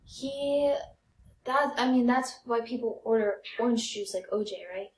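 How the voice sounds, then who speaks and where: A woman's voice: fairly high pitch, measured pace. A young American woman chatting casually and telling personal stories as a comedy podcast co-host. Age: young adult. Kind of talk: casual chat, personal storytelling. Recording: roomy, echoey podcast sound.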